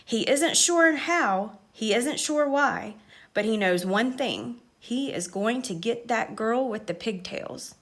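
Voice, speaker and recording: A woman's voice: on the high side, expressive, slow, exaggerated voice. A woman voicing an earnest young boy who talks like a solemn, pompous sports commentator in a storybook. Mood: dramatic.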